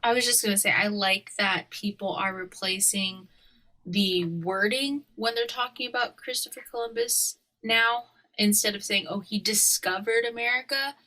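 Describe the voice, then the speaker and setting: A woman's voice: medium pitch, moderate pace, low-quality recording. A young woman co-hosting a podcast, chatting casually and laughing often as she tells stories. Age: young adult. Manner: laughs often, animated storytelling. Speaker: podcast co-host. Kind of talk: casual chat.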